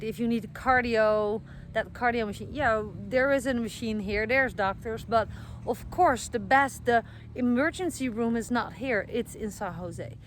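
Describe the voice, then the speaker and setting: A woman's voice: a little high, a bit bright, slight background noise. A middle-aged woman from the Netherlands with a Dutch accent, chatting casually to camera outdoors, with many fillers and self-corrections. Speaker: vlogger. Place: outdoors, garden with trees being planted.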